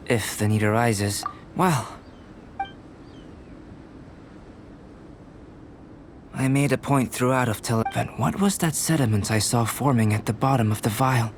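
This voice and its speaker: A man playing a calm, courteous young alchemist in a video game, speaking in a measured, formal, reflective manner. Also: mid-range voice, noisy background, close to an everyday voice. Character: calm, courteous, scholarly young male alchemist, detached and inquisitive. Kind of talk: video game character voice acting.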